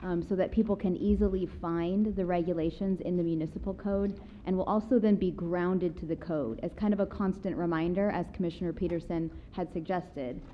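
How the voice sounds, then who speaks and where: A woman's voice: lowish voice, fairly even intonation, brisk, few pauses, mellow, slight background noise. A woman on city planning staff presenting at a public meeting, careful and explanatory, with frequent fillers. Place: council chamber, seated at a table.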